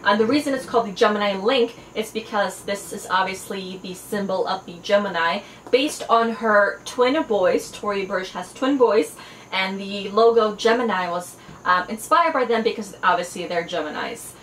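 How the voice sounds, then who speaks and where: A woman's voice: medium pitch, normal speed, fairly bright tone. Chatty Farsi-speaking woman, echoey room. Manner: enthusiastic, chatty, frequent fillers. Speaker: fashion and beauty vlogger. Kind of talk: casual haul video. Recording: echoey room, faint background noise.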